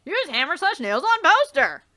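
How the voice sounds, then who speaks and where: A young woman with a very high, bright voice reads a webcomic aloud in a theatrical way, her pitch jumping all over the place. She swears freely and drifts into offhand, rambling asides as she hosts a let's play. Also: sing-song, normal speed. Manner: theatrical reading, swears and trails off. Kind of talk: reading a webcomic aloud with commentary.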